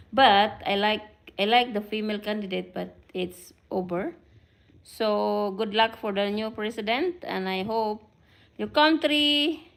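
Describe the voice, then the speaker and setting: A woman's voice: medium pitch, vocal fry. A middle-aged woman from the Philippines with a Filipino accent, chatting live with viewers, greeting them by name and mixing in Filipino phrases. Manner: code-switches, greets commenters by name, self-described fast speaker. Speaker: vlogger hosting a livestream. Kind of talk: casual live chat with viewers.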